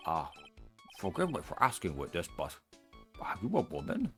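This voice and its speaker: A man doing a gravelly, formal judge. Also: deep voice, wildly swooping pitch, fairly bright tone, soft background music, exaggerated voice. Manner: formal, measured. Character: formal elderly male courtroom judge. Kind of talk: fan voice acting of a game.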